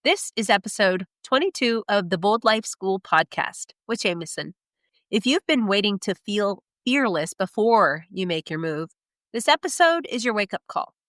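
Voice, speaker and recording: A woman's voice: medium pitch, lively intonation, normal speed, a bit bright, dry recording, studio quality. Nasal-voiced motivational podcast host woman. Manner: motivational, emphatic with rhetorical questions. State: nasal from a cold. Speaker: podcast host and career coach. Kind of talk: scripted solo podcast monologue.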